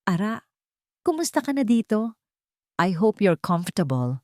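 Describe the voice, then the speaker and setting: A woman's voice: medium pitch, very animated, measured pace, a little mellow, no echo, clean studio sound. A woman with a Filipino accent voicing a falsely sweet, possessive rich fiancée in a story, syrupy and condescending. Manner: syrupy, condescending, switching between English and Tagalog.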